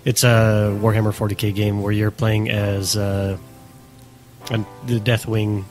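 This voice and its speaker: A man's voice: on the low side, level tone, unhurried, darkish tone, faint music behind. A man co-hosting a casual podcast, speaking in a dry, matter-of-fact way with frequent hesitations. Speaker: podcast co-host.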